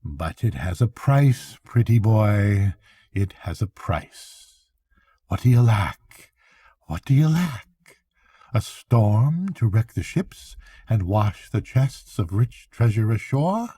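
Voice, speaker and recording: A grandfather voicing a cunning young witch in a bedtime story, coaxing and chanting as she peddles dark spells. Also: fairly low pitch, very animated, slightly rough, no room sound, exaggerated voice. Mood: boastful, menacing. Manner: chanting, repetitive, drawn-out coaxing.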